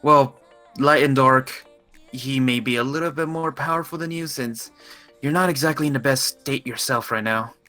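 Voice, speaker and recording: A man playing a dutiful, wary good mage allied with fairies in an improvised Minecraft fantasy roleplay, speaking in a measured, hesitant, concerned way. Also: medium pitch, bright, forceful tone, lightly acted. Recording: voice over background music.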